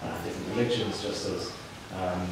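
A man's voice: low voice, normal speed. A man putting a tentative, roundabout question from the audience at a conference. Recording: very echoey room, distant mic, clear noise.

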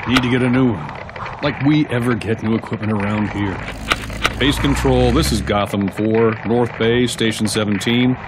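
A man's voice: mid-range voice, moderate pace, lightly acted. A man playing a fed-up prison guard on patrol in a gritty audio drama, grumbling and speaking in clipped radio procedure. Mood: weary, irritated. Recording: very noisy outdoor vehicle ambience.

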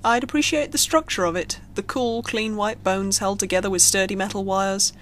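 A woman's voice: medium pitch, fairly even intonation, moderate pace, slight background noise. A woman reading a scripted horror story as a narrator, telling it expressively. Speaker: creepypasta narrator. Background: background music.

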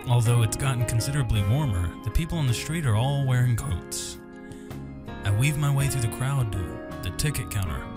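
A man hosting a gaming video, reading visual novel text aloud with asides and stumbling over some words and names. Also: mid-range voice. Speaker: gaming host. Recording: music under the voice. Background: game music with guitar.

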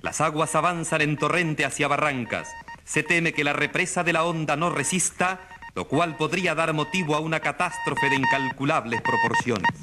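A man's voice: on the high side, huge pitch swings, normal speed. An actor playing a radio newsreader, a man announcing a disaster with grave, clipped urgency in a dramatized bulletin. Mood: urgent, alarmed. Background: music.

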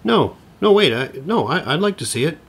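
A middle-aged father in a comedic audio drama. His husky voice swings widely in pitch. He comes across as sardonic, frazzled and exasperated. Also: big pitch swings, brisk, a bit bright, full character voice. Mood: polite, flustered. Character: sarcastic, frazzled middle-aged divorced dad.

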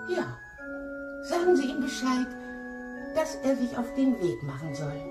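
A woman's voice: high voice, wildly swooping pitch, measured pace, full character voice. An actress playing a stern, haughty lady of the house in a mystery radio play, with formal, clipped delivery. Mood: stern, reproachful. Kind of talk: German radio play acting. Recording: roomy sound with music behind.

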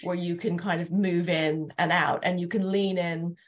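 A woman's voice: on the low side, steady tone, moderate pace. A woman in her forties hosting a thoughtful panel discussion. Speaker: host, moderator. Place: living room, indoors. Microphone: video call, laptop.

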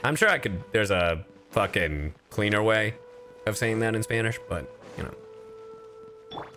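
Guy streamer just chatting, playful, bouncy intonation, punchy bright voice, bit of game music. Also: on the low side, measured pace. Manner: animated, playful, casual swearing. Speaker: streamer and voice actor. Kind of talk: casual livestream chat. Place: indoor livestream with game music.

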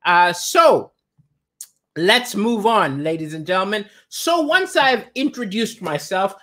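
Animated stand-up comic from Malawi. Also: male voice, high-pitched, slow. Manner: animated, gesturing, shifts between chatty asides and punchlines. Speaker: comedian and livestream host. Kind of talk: stand-up comedy on a livestream. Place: home kitchen, indoors. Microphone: webcam at desk.

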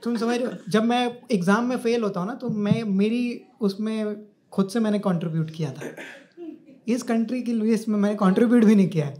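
A man's voice: high voice, a little fast, warm tone. A young Indian man in his twenties performing stand-up on a club stage into a stand mic, with an indignant, exaggerated, punchy delivery. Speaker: stand-up comedian. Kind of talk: stand-up comedy set. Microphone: handheld mic on a stand. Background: audience laughing.

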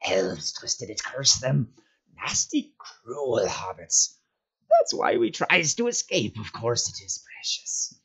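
A man voicing a wretched, cringing, sly creature in a storybook, whining and fawning in a hissing, hoarse whisper with drawn-out, repetitive pleas. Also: mid-range voice, huge pitch swings, fairly bright tone, voice pushed up, heavily acted. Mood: anguished, hissing. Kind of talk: storybook character voice.

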